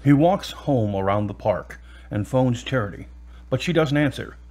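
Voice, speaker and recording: A man's voice: mid-range voice, lively intonation, normal speed, darkish tone. A man narrating an audio drama in scripted, present-tense storytelling.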